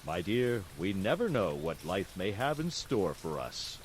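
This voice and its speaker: A man playing a patient old woodcarver father, calm and gently scolding, speaking slowly with a lively rise and fall. He is a kindly storybook figure, heard over faint rain. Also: low voice, slight background noise. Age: older. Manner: slow, calm, gently lecturing. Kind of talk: storybook reading.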